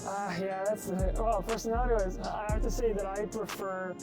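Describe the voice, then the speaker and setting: Italian man talking over loud music. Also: high voice, little pitch movement, normal speed. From Italy. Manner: thoughtful, hesitant with fillers and restarts. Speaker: interviewee. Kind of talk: casual conversational interview.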